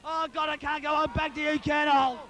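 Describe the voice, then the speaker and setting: Male Australian footy co-commentator, piercing and high, voice strained from shouting, urges his team on in slangy, biased bursts. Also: brisk. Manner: excited, urging players on, colloquial. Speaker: amateur football co-commentator. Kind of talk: partisan live sports commentary.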